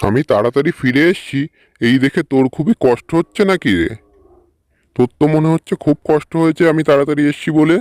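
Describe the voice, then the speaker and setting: A man's voice: on the high side, fast, darkish tone, no echo, close to an everyday voice. A man voicing a cautious, suspicious middle-aged village father in a cartoon story. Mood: accusing.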